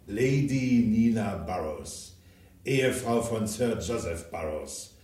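A man's voice: on the low side, animated, slow pace, a little mellow, recorded from a distance. An actor in a German crime radio drama playing a cynical, ambitious self-made businessman, blunt and coarse, with theatrical emphasis. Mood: cynical, boastful. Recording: echoey room, off the microphone.

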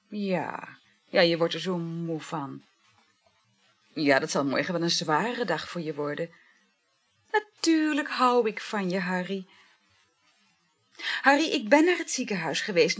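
An actress in a radio play voicing a dreamy, flirtatious married woman, speaking in a breathy, languid, halting murmur. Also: on the low side, exaggerated voice. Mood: resigned. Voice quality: breathy, husky. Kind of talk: intimate radio play acting.

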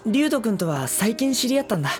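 A man playing a gentle, exasperated, self-deprecating high school boy in a Japanese anime audio drama. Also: sing-song, quick pace, faint music behind. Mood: apologetic.

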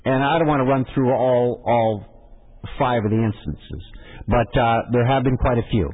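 A male radio host with a husky, mid-pitched voice answers a caller. He speaks firmly and hammers points home by repeating them. The sound is narrow and lo-fi, with a long reverb behind it. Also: moderate pace. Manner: firm, repeats phrases for emphasis. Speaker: radio talk show host. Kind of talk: call-in radio talk. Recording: muffled phone-band audio with long reverb.

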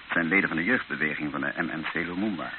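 An actor playing an earnest, patient priest who heads a mission in a radio drama, gravelly, measured and gently insistent. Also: male voice, a bass voice. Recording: noisy, narrow-band old radio recording.

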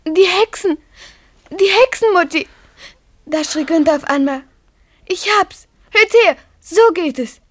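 High-voiced, frightened little schoolgirl, close and dry. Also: measured pace, long pauses, no echo. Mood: fearful, earnest. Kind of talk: German radio play acting.

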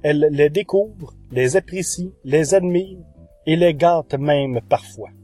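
A man's voice: fairly high pitch, slow pace, darkish tone, no echo, faint music behind. A man, hosting a podcast and presenting reviews of audio shows in a monologue. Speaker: podcast host.

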